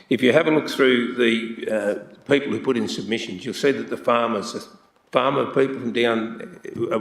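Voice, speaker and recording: A man with a fairly low voice speaks as a councillor at a council meeting. He is plain-spoken and halting and often repeats his words. The sound bounces around an echoey council chamber.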